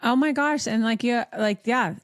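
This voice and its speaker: A woman's voice: on the high side, normal speed, no room sound, clean studio sound. A woman from Montreal with a Canadian accent, hosting a casual advice talk in a blunt, sweary, emphatic manner. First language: English. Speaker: podcast host.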